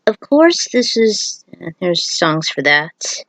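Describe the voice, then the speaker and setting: A woman's voice: fairly low pitch, very animated, takes it slowly, a bit bright, no room sound. Woman narrating in a dry, close recording. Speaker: narrator and author of a fan fiction series. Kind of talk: scripted narration with casual outro remarks.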